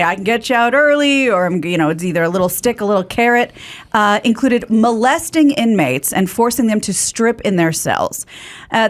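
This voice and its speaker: A woman reporting news on a podcast in a studio, reading items with chatty asides on a studio mic. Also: mid-range voice. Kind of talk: casual news segment. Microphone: studio mic with headphones.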